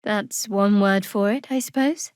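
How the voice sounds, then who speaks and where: An actress playing a guarded young cleric of a dark goddess in a video game, with a dry, sardonic, clipped manner. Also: female voice, medium pitch, a little slow, clear, resonant tone, dry recording, close to an everyday voice. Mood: dry, wry. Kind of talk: video game character voice lines.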